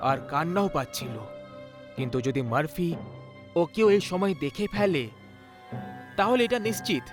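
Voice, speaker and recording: Higher-pitched man narrating horror. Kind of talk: scripted dramatic narration. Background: background music.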